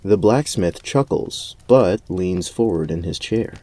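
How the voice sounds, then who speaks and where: A man with a lowish, dark and mellow voice narrates a fantasy tale. His delivery is measured and descriptive, like the storyteller of a radio play. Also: animated, no echo.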